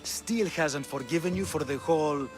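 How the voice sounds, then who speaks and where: A man's voice: on the high side, normal speed, over music, lightly acted. A man voicing a courtly, condescending, sly Spartan king in dramatic video game acting. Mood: persuasive. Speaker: actor voicing a game character.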